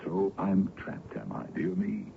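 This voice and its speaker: Very deep-voiced courtly older suitor, radio drama. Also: male voice, very animated, a little slow, gravelly, exaggerated voice. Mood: teasing, courtly. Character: older colonel, ardent suitor, worldly and persuasive. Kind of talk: old-time radio drama acting. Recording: dull, noisy vintage radio recording.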